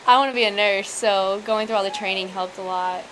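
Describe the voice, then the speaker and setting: A woman's voice: mid-range voice, fairly even intonation, brisk. A seventeen-year-old young woman talking casually in a recorded vox pop interview. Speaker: lifeguard, student. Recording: clear ambient noise on location.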